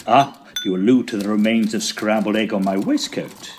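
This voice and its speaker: A man's voice: on the low side, moderate pace, a little hiss or hum, natural voice. A man playing a fussy, genial Edwardian estate agent in a tongue-in-cheek audio drama, speaking in wordy, formal, old-fashioned phrases. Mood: chatty, fussy. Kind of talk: tongue-in-cheek period audio drama, first-person storytelling and dialogue.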